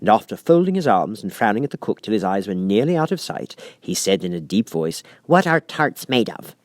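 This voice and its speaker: A man's voice: on the low side, very animated, brisk, a little mellow, no echo, studio quality. A man reading a children's story aloud as scripted audiobook narration.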